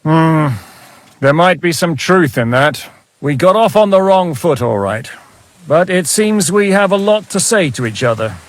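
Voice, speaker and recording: High-voiced, battle-hardened mercenary captain. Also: male voice, animated, measured pace. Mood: wry, guarded. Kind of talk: dramatic game dialogue.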